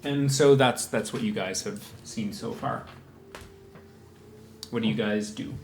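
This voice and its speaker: A man running a tabletop game as game master, describing scenes in a halting way with many fillers and restarts. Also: lowish voice. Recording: echoey room, faint noise.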